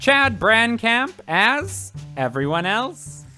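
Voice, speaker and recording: A woman's voice: fairly high pitch, very animated, takes it slowly, bright, forceful tone. A woman announcer reading a scripted cast introduction in 1940s radio style. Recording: music behind the voice.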